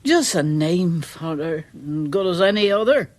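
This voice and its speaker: A village charwoman from a radio play, with a rural English accent. She speaks slowly and haltingly, rambling in a folksy, deferential way. She sounds like a superstitious, uneasy teller of local tales. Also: lowish voice, animated. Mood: hushed storytelling. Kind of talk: radio drama acting.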